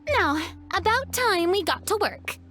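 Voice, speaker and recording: Game role: refined, dramatic young film connoisseur, very high and lively, eloquent delivery. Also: female voice, unhurried, soft background music, exaggerated voice. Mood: pleased, brisk. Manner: grandiose, eloquent, encouraging. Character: theatrical, refined young woman, eager film consultant. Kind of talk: video game voice acting.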